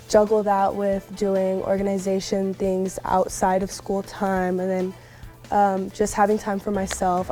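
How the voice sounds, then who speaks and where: A woman's voice: mid-range voice, dark tone. A young woman from West Texas, a university student, answering interview questions earnestly and reflectively. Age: young adult. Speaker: alumna interviewee.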